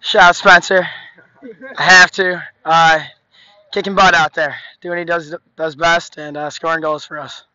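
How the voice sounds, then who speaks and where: A teenage boy, a high school lacrosse player, chatting cheerfully and casually after a game outdoors at night with a handheld mic. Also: flat delivery, moderate pace, pushed and bright, clean tone, no echo, highs cut off. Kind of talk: post-game interview. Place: outdoor sports field at night.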